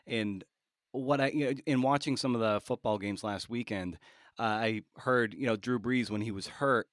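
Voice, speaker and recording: A man's voice: medium pitch, normal speed, no echo, clean studio sound. A man hosting a podcast interview in a casual, conversational way, feeling his way toward each phrase as he rambles.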